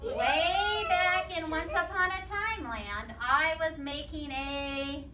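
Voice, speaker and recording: A woman reading a storybook as a chatty, wronged male wolf, expressive and confiding with drawn-out emphasis. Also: high voice, crowd noise, voice pushed up. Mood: self-justifying. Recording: roomy, distant mic with faint noise.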